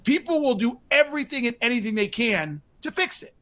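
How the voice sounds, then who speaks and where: High-voiced guy explaining stuff, acts out what buyers say, kinda muffled sound. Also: animated, brisk, pushed and bright. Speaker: podcast co-host. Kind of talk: casual podcast chat.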